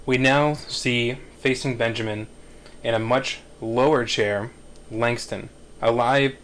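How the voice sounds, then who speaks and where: A man in his thirties narrating screenplay directions in a measured, halting way at a table read. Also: mid-range voice, fairly even intonation, fairly bright tone, slight background noise.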